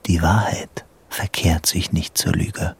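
A man's voice: sits low, fairly even intonation, takes it slowly, vocal fry, slightly rough, full character voice. An actor playing a troubled, delusional man on the run, murmuring his thoughts to himself in a radio drama, often half-whispering. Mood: brooding. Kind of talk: radio drama inner monologue.

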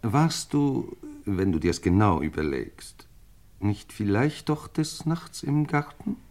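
A man's voice: fairly low pitch, sing-song, slow pace, a little mellow, full character voice. An older man playing a genial, tippling aristocratic uncle in a vintage German radio crime play, courtly and exclamatory. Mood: confidential, probing. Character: genial, tippling old English lord, doting uncle. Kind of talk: 1950s-80s German radio crime drama acting.

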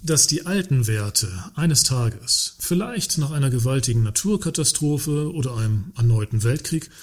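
Actor as husky, smug works council cynic. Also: male voice, mid-range voice, animated, normal speed, close to an everyday voice. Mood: smug, sarcastic. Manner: articulate, sarcastic, mock-reasonable. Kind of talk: German radio satire acting, dialogue-driven.

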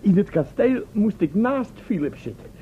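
A man's voice: high-pitched, big pitch swings, moderate pace. An actor playing a breezy, boastful time-travel test subject who tells his tales in cheeky, colloquial language in a radio play. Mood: cheerful bragging. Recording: tinny, band-limited old radio recording, hiss.

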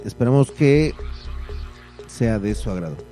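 A man hosting a community radio newscast, reading a statement and presenting in a relaxed, informal way. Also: mid-range voice, a little slow, music in the background. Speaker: community radio news host.

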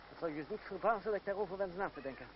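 A proud, sharp-tongued young gentleman speaks in a high male voice. He is formal and dryly ironic, in old radio drama style. The sound is dull, with clear noise behind it. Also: racing. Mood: wry. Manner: dry, ironic, formally polite. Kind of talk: old Dutch radio play acting.

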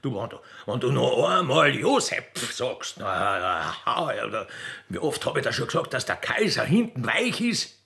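Man playing a gruff creaky old Austrian father. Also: medium pitch, very animated, moderate pace, pushed and bright, pitched below the performer's own voice, exaggerated voice. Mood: irritated. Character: grumpy, blustering old rustic father, railway official and poacher.

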